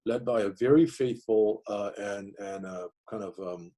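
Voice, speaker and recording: A man's voice: on the low side, a little slow, mellow. A middle-aged man whose first language is Korean, answering interview questions reflectively, with many fillers and restarts. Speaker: interviewee, divinity school professor.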